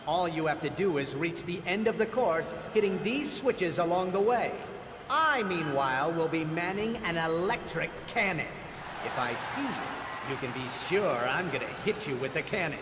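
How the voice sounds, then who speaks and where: A man plays a vain game villain with a high, wildly swooping voice. He is pompous and theatrical, a grandiose showman with a bitter jealous streak. Loud noise surrounds him. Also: lively intonation, moderate pace, close to an everyday voice. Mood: showy, taunting. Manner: pompous, grandiose announcer delivery. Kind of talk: video game villain acting.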